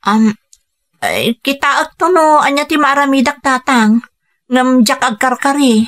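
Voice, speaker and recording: A woman's voice: high-pitched, very animated, unhurried, a bit bright, heavily acted. A woman playing a young, dutiful, burdened Filipina daughter working overseas in an Ilocano radio drama, earnest and plaintive, with an Ilocano accent. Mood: anxious, hesitant. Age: young adult. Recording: music bed under the voice. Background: music.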